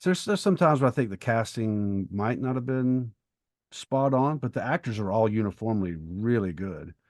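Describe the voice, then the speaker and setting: A man's voice: lowish voice, lively intonation, moderate pace, a little mellow, no room sound, studio quality. A middle-aged man co-hosting a podcast, chatting casually in a bookish, opinionated way and explaining things at length. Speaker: podcast co-host and writer. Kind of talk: casual conversational discussion.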